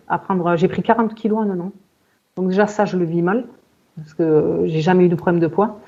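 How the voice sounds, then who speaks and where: A woman in her forties giving a halting personal account in conversation, searching for words and often repeating herself. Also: lowish voice, mellow, highs cut off. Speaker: client in a hypnosis session interview.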